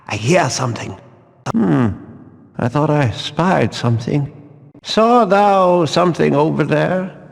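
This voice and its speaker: A fanatical religious guard from a video game, barking archaic commands at thieves. His voice sits high and rings out slowly in a long hall reverb, stern and combative. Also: creaky, exaggerated voice. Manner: declamatory, archaic, commanding speech. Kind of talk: video game voice acting, archaic battle barks.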